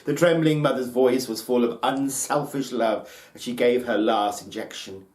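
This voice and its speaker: A man narrating a folktale as a storyteller, with solemn, deliberate, theatrical phrasing. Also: medium pitch, darkish tone. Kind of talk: dramatic scripted folktale narration. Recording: roomy, echoing space.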